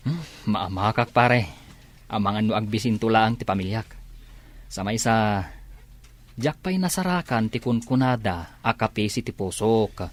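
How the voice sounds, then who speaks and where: A man's voice: lowish voice, measured pace, lightly acted. A man playing a hesitant, reflective bachelor chatting in a drama. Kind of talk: drama dialogue.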